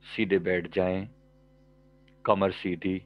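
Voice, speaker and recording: A man guiding a meditation as a spiritual teacher, calm and measured, pausing between short phrases, on a dull, muffled recording. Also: deep voice. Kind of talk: guided meditation instruction.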